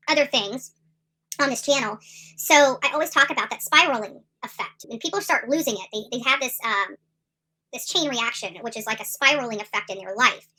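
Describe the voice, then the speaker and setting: A woman's voice: high voice, pushed and bright, rough recording. A middle-aged woman hosting a casual live commentary stream, talking emphatically and rambling with many fillers and restarts. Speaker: YouTube commentary host.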